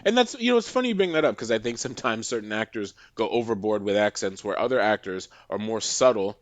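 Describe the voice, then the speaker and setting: A man's voice: fairly low pitch, animated, fast, no room sound. A man from Massachusetts, a podcast guest, rambling with many restarts in casual chat.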